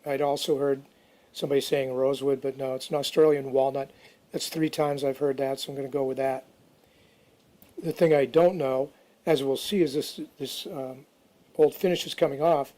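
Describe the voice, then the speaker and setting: Male DIY narrator, mid-pitched and very flat in intonation, informal and off the cuff. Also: moderate pace. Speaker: DIY restoration video narrator. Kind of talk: casual how-to narration.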